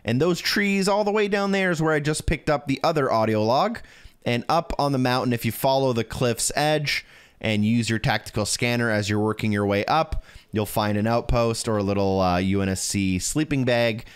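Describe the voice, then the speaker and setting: A man's voice: medium pitch, moderate pace, clear, resonant tone. A man narrating a video game walkthrough in a casual, instructional way, talking directly to the viewer. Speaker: video game guide creator.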